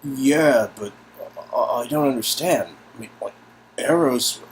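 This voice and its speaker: A man playing a bewildered, concerned young hero in a game reading, earnest, halting and stammering. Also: mid-range voice, noisy background, pitched above the performer's own voice, close to an everyday voice. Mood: confused.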